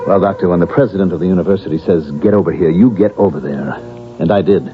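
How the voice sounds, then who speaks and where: An actor playing a flustered, indignant, mild-mannered professor who protests and stammers in a radio drama. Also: male voice, sits low, quick pace, music in the background, natural voice. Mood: wry recounting. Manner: stammering and protesting when pressed. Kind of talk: vintage-style radio drama acting. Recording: dull, noisy old radio broadcast recording.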